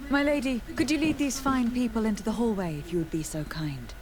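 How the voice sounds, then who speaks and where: Woman acting a commanding noblewoman, fairly high voice. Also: brisk, crowd noise, natural voice. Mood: composed, commanding. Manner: formal, interrogating. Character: commanding, skeptical young noblewoman questioning subordinates. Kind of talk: video game dialogue acting.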